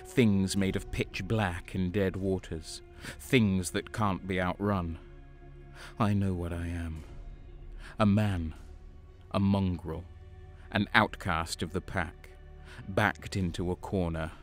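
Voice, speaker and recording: Haunted turncoat soldier played by a man, audio drama. Slow, bitter, dramatic, on the low side. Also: steady tone. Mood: grim, resigned. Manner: dramatic, stammering under stress. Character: haunted, desperate renegade guardsman, defiant and bitter. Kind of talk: audio drama acting with first-person narration. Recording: music behind the voice.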